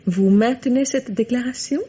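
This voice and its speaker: Female murder suspect, old radio drama: mellow, breathy tone, hesitant, guarded, quietly pleading. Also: fairly high pitch, normal speed. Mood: wary, protective. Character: evasive, wounded young woman suspected of murdering her husband. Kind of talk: 1950s French radio mystery acting. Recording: muffled vintage radio drama sound.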